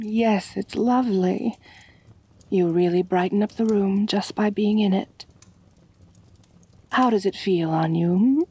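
Actress as vampire mistress for ASMR roleplay: soft, breathy, unhurried, genteel and coaxing, doting yet in control. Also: female voice, mid-range voice, no echo, full character voice. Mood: doting, admiring. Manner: slow, coaxing, genteel, purring endearments. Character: elegant vampire mistress, doting, gently dominant, calculating noblewoman. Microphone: close, dry microphone.

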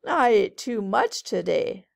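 A woman's voice: mid-range voice, sing-song, takes it slowly, vocal fry, a little mellow, heavily acted. A woman voicing a plump, hungry female spider, coaxing and sly, in a children's storybook. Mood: matter-of-fact. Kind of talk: children's storybook read-aloud.

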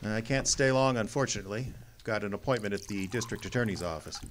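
An actor playing a cynical, wisecracking tough private detective in a radio drama, wry and clipped. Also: male voice, fairly low pitch, quick pace, close to an everyday voice. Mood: cool, guarded. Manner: hard-boiled, wry, clipped. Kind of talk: old-time radio drama acting.

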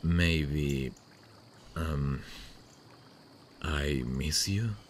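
A man playing a sweet, devoted long-distance boyfriend in an intimate ASMR roleplay, speaking tenderly and soothingly with hesitant pauses. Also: very deep voice, slight background noise, full character voice. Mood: shy, longing. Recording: faint running-water nature sounds behind. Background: stream nature sounds.

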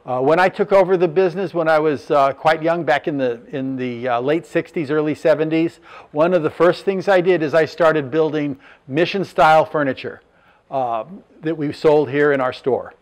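A man's voice: on the high side, moderate pace. Older man presenting showroom furniture. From Southern California. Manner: plain, matter-of-fact, lists measurements with fillers. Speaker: furniture business owner. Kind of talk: product presentation.

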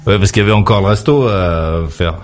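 A man's voice: on the low side, fairly quick, loud music behind the voice. A man hosting a casual late-night radio phone-in, teasing and joking with the caller. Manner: jokey, teasing, laughs at own jokes. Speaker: radio host.

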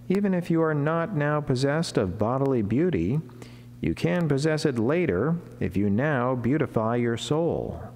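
A long church reverb and a noticeable humming background surround this man's voice. He is a middle-aged friar delivering a written devotional sermon from a chapel lectern. His delivery is formal and measured, with deliberate pauses and an average male pitch. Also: a little mellow, slight background noise. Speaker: Franciscan friar giving a daily saint reflection. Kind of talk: solemn read sermon, devotional reading. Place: wood-panelled chapel, indoors, at a lectern.

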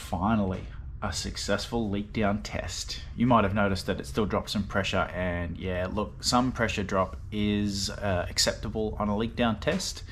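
A man casually narrating his motorbike rebuild in a relaxed, rambling way, with lots of fillers and dry self-deprecating asides. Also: lowish voice, normal speed, a little hiss or hum. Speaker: motorbike restoration vlogger. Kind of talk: casual workshop voice-over narration.

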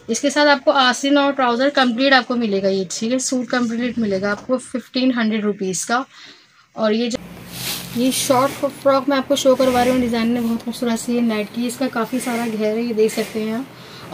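A woman with a Pakistani accent presenting stock in an informal sales style, repeating herself and mixing in English words. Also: a little high. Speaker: shop presenter showing wholesale stock. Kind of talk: informal product showcase sales talk. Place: indoor garment shop packed with fabric. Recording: clear background noise, handheld phone sound.

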